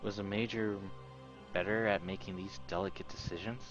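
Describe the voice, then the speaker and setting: A man with a deep voice reads scripted narration from a visual novel aloud. Music plays clearly underneath his voice. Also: fairly even intonation, a little fast, dull audio. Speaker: narrator reading translated game text aloud.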